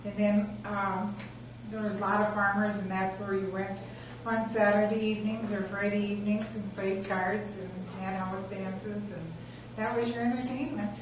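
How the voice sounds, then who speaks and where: An older woman answers in short, plain sentences. She sounds distant from the microphone, and the room is very echoey with steady background noise. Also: mid-range voice, moderate pace. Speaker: interviewee.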